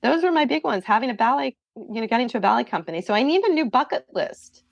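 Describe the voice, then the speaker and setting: A woman's voice: fairly high pitch, animated, fast, vocal fry, dry recording, muffled recording. A middle-aged woman, a guest author, answering podcast interview questions in an earnest, thoughtful way.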